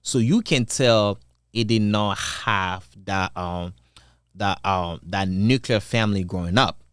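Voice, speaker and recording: A man's voice: lowish voice, slow pace, clear, resonant tone, no room sound, studio quality. A young man from Congo giving a casual, rambling opinion monologue, full of fillers and restarts. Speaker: channel host.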